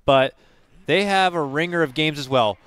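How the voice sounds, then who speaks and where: A man's voice: fairly high pitch, normal speed, fairly bright tone, smooth, no room sound. A man casting a live esports match with an upbeat manner, laughing often, on a headset in a small studio. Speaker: esports caster. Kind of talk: live game commentary. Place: small decorated studio set.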